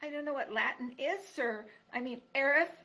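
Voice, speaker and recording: A woman voicing a timid young female deer mouse who pleads in a high, anxious voice. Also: moderate pace, pushed and bright. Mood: timid pleading.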